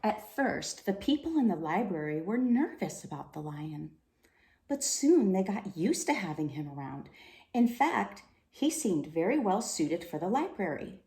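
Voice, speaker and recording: An echoey room surrounds a woman reading a children's storybook aloud. Her delivery is slow and deliberate, with very lively, dramatic swings in pitch. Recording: roomy, echoing room sound.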